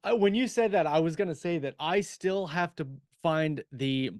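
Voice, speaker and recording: A man co-hosting a writing podcast in casual shop talk, with a halting way of circling back to rephrase. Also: on the high side, lively intonation, no echo, studio quality. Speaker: podcast co-host and science fiction writer.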